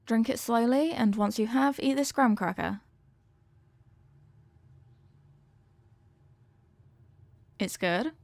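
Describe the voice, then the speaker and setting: Girl acting as earnest lady scientist in an audio roleplay, kinda high voice, proper n precise, super dry close sound. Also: moderate pace, vocal fry, darkish tone, dry recording. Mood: attentive, clinical. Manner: precise, formal, clinical wording. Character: earnest, formal young woman scientist fond of her test subject. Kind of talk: scripted roleplay addressed to the listener.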